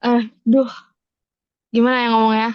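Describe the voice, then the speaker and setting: A young Indonesian woman chatting casually as a podcast guest, often halting to search for her words. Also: fairly high pitch, level tone, clean tone, no room sound, lo-fi sound. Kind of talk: casual chat.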